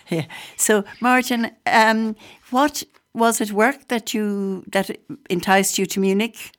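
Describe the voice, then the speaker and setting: A woman hosting a casual interview, chatty and quick to laugh. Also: medium pitch, steady tone. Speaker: radio host.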